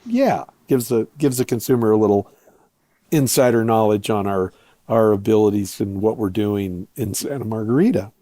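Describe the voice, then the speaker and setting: A man being interviewed as a guest, explaining his work step by step in short, measured phrases. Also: on the low side, warm tone, dry recording. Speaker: interview guest, winery owner and viticulturist.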